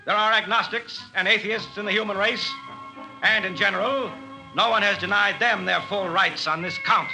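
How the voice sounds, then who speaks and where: A man's voice: sits high, normal speed, loud music behind the voice, poor audio. An actor playing an insistent, formal male lawyer arguing in a 1950s radio drama. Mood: argumentative.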